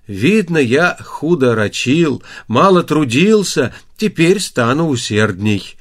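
Man acting humble male peasant labourer, devout, earnest, reflective, fairly high lilting voice, storybook delivery. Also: big pitch swings, takes it slowly, exaggerated voice. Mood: humble, resigned.

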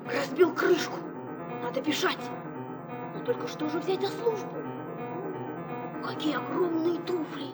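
A woman's voice: very high voice, unhurried, pauses often, loud music behind the voice. A woman playing a small, naive, good-hearted boy who thinks aloud earnestly in an audio fairy tale. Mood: excited, hurried.

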